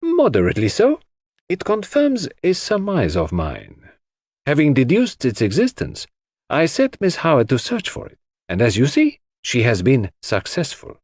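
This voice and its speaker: A man voicing an excitable, precise, self-satisfied male detective in a dramatized mystery audiobook. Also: mid-range voice, moderate pace, no room sound, studio quality, pitched above the performer's own voice. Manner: precise, theatrical, exclamatory. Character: excitable, fastidious French-speaking male detective, pleased with himself.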